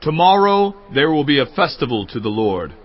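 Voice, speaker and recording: A man's voice: a little high, very animated, normal speed. An actor playing an authoritative male priest addressing his people, declaratory, in a dramatized scripture reading. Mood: announcing. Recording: dull band-limited sound.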